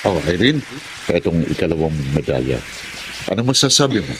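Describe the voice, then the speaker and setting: Pormal at mababang-boses na tagapagpahayag. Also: male voice, deep voice, sing-song, noisy background. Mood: formal, proud. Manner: measured, ceremonious. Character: formal male university ceremony announcer. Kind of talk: formal announcement in a radio drama. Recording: clear music under the voice.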